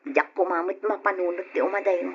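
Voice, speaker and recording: A woman's voice: lowish voice, steady tone, a little fast, a little hiss or hum, natural voice. A woman playing a burdened but determined, practical wife in an old radio drama, speaking earnestly and resolutely. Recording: dull, lo-fi old radio recording.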